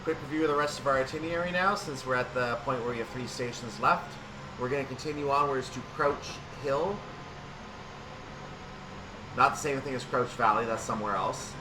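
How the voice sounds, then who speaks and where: Man, game commentary, announcer-like phrasing, medium pitch, slightly distant mic, noisy train rumble behind. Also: a little fast. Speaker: video game streamer. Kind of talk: train simulator play-by-play commentary. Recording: distant mic, loud vehicle-like background noise.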